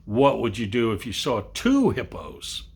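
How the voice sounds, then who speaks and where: Eager, boastful schoolboy acted by a man in a storybook, husky, leaping about in pitch. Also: huge pitch swings, a little slow, exaggerated voice. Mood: excited boasting. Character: playful imaginative young boys out in the snow.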